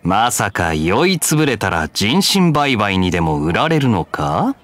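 A man's voice: on the low side, big pitch swings, unhurried, fairly bright tone, a little hiss or hum. A man playing a teasing, provocative young swashbuckler in an anime game, with a languid, mocking delivery.

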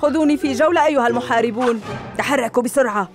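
A bossy, boastful young ninja villain, voiced by a woman, barks orders in a fairly high voice. Loud music runs under the dubbed cartoon. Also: very fast, natural voice. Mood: gloating. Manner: gloating, commanding. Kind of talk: Arabic cartoon dub. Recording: studio dub with loud music.

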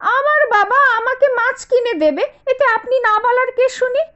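A woman voicing a spoiled, sharp-tongued young daughter-in-law from a rich family in a cartoon, scornful, complaining and demanding. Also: extremely high pitch, normal speed. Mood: indignant. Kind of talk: Bengali cartoon voice acting. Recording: dull lo-fi audio with music behind.

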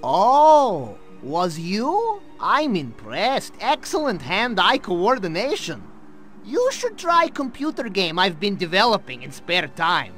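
An actor playing a nervous Soviet scientist in a game, speaking broken English with a Russian accent. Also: male voice, high voice, huge pitch swings, slow pace, a bit bright, faint music behind, exaggerated voice. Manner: broken English, drops articles. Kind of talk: video game cutscene acting.

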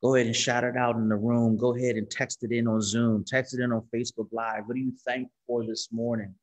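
A man's voice: mid-range voice, little pitch movement, fast talker. A man in his forties, a pastor leading a church service over a video call, encouraging and affirming as he reads out messages. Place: small studio room with brick wall and screen. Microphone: webcam video call.

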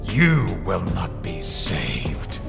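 A man's voice: low voice, very animated, very slow, gravelly, full character voice. A man voicing a merciless nightmare machine in a horror game, solemnly pronouncing threats. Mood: cold menace. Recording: long reverb, loud music behind.

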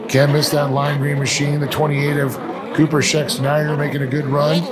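A man's voice: fairly high pitch, little pitch movement, moderate pace, over a noisy crowd. A man giving live watercraft race commentary as an announcer, in colloquial, folksy racing slang. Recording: loud engine noise behind voice. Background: loud watercraft engines outdoors.

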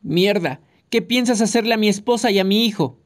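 A man's voice: high-pitched, a little fast, voice pushed up, close to an everyday voice. A reader voicing a frantic escaped convict, a man who stammers and repeats his words in an audiobook. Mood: furious.

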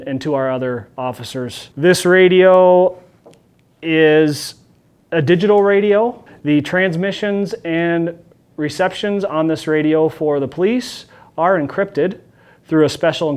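Man explaining, slightly high-pitched voice. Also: darkish tone, clear, resonant tone. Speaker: police officer presenter. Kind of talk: informal explainer to camera. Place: small office meeting room, indoors.

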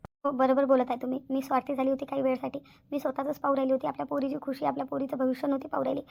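Woman playing earnest cartoon wife and devoted mother, contrite, high creaky voice, brisk delivery. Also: level tone, darkish tone. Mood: contrite, resigned. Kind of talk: cartoon voice acting.

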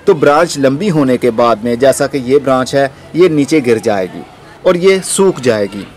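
A man's voice: medium pitch, a little mellow, a little hiss or hum. A man hosting an informal how-to gardening video, explaining step by step to the viewer. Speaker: gardening channel host. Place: outdoors in a rooftop garden.

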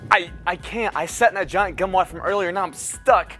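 A man's voice: sits high, normal speed, bright, forceful tone, soft background music. A man voicing a whiny young boy who is easily upset, heard faintly and set back from the mic.

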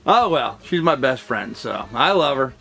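A man's voice: medium pitch, sing-song, measured pace, gravelly, fairly bright tone, slightly rough, faint music behind. A middle-aged man who works as a real estate broker, talking casually to the camera in a cluttered home office, rambling with lots of fillers and restarts. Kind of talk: casual talking-head vlog.

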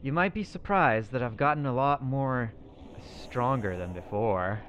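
A man voicing his character in a survival game roleplay, excitably thinking aloud to himself. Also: mid-range voice, measured pace, slight background noise.

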